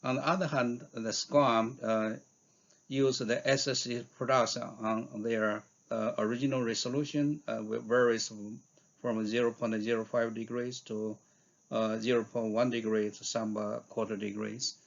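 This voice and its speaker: A man giving a scientific conference talk in a halting way, with frequent hesitations and fillers. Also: medium pitch, flat delivery, vocal fry, lo-fi sound. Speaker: researcher giving invited talk.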